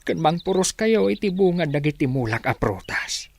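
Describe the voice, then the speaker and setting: A man's voice: a little high, lively intonation, moderate pace, gravelly. A man playing a poor, humble village father with an Ilocano accent, pleading yet firm to protect his child in a radio drama. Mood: weary, conciliatory. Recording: noisy voice recording.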